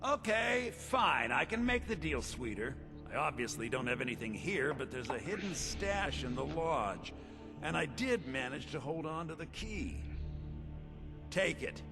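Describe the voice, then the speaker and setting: A man's voice: on the high side, sing-song, a little slow, over music. An actor playing a spoiled, lecherous young rich man, a glib and entitled schemer sitting in jail, in a fantasy video game. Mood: defensive, boastful. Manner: glib, entitled, self-pitying.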